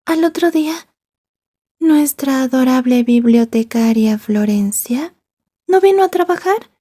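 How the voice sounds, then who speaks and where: A woman playing a possessive, jealous yandere schoolgirl in an ASMR roleplay, soft and breathy, taunting and slipping into whispers. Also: high-pitched, lively intonation, a little slow. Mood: jealous, menacing.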